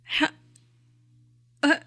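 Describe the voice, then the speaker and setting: A woman's voice: very slow, pushed and bright. A woman from Texas co-hosting a podcast, talking in a dry, teasing way. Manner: dry, teasing, laughs often. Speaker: podcast co-host. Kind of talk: casual chat.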